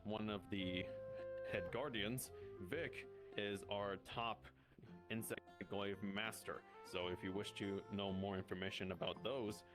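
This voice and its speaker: Male roleplayer as a guild guide: slightly deep, improvised instructive delivery that stumbles and restarts, over music. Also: steady tone, measured pace. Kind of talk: improvised in-character explanation in VR roleplay. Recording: music behind the voice.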